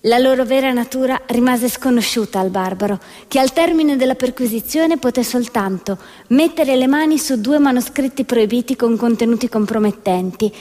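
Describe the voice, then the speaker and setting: A woman's voice: on the high side, normal speed, a little mellow, in a large hall. A woman narrating a scripted satirical stage piece with emphatic, theatrical storytelling delivery.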